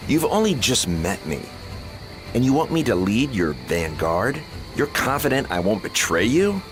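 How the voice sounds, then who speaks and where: A man playing a sharp-tongued, scornful but loyal strategist in a dramatic video game, speaking bluntly and articulately. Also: on the low side, big pitch swings, a little slow, over music. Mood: wry, confident.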